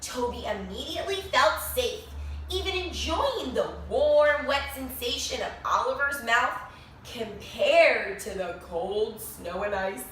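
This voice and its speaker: A woman reading a children's storybook aloud expressively, with dramatic pauses and questions to her young listeners. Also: fairly high pitch, expressive, fairly bright tone. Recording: echoey room, distant mic, faint noise.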